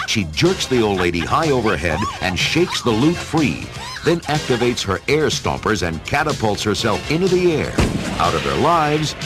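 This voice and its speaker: A man narrating a radio play as a dramatic storyteller, with a folksy, wry manner. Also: lowish voice.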